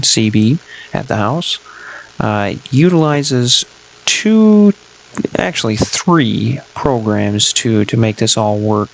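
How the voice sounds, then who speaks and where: A man giving a casual, unscripted technical explanation in a halting way, as a hobbyist presenting his own project. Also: lowish voice, gravelly, slight background noise, rough recording. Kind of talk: casual explanatory vlog narration.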